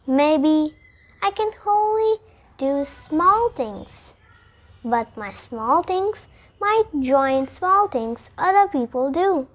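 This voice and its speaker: Slow, high-pitched child reading a storybook aloud. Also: big pitch swings, a bit bright. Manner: deliberate, phrase-by-phrase reading. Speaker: storybook reader. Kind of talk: read-aloud of a children's picture book.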